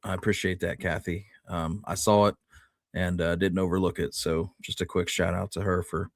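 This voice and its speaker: A man co-hosting a casual conversation, plainspoken and informal, with a slightly halting delivery. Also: deep voice, flat delivery, no echo, studio quality. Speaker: show co-host.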